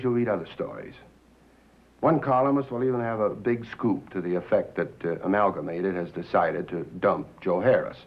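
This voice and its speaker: An actor playing a scheming, paternal network president in a 1950s film drama, condescending, with deliberate, hesitant pauses. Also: male voice, lowish voice, phone-quality sound, close to an everyday voice. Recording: thin, boxy old film soundtrack.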